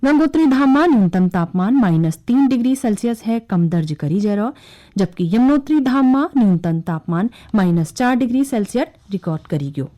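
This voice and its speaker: A woman's voice: fairly high pitch, animated, brisk, mellow. A woman with a Kumaoni accent reading a scripted regional radio news bulletin.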